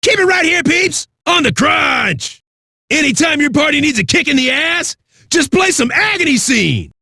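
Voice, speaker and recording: A man playing a brash, foul-mouthed metal radio DJ in a video game, with a raspy voice and rowdy, swaggering delivery. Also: very high-pitched, very animated, normal speed, bright, forceful tone, no room sound, shouting, clean studio sound, full character voice. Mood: cocky, amped. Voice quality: raspy, gravelly. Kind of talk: high-energy rock radio DJ patter.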